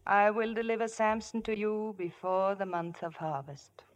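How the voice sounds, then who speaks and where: A woman's voice: mid-range voice, normal speed, highs cut off, loud music behind the voice, natural voice. An actress playing a seductive, vengeful, scheming woman in 1950s radio drama, commanding and theatrical.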